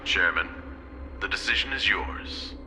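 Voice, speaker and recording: A man playing a shrewd, composed corporate executive in a video game, suave and deliberate, with music behind him. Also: low-pitched, background noise. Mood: cool, wry. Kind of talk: scripted video game voice acting.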